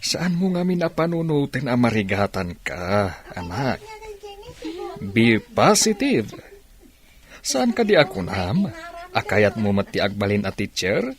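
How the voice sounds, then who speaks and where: A man's voice: fairly low pitch, sing-song, a little slow. A man playing a devoted, reassuring father of a blind daughter in an audio drama. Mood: encouraging, emotional.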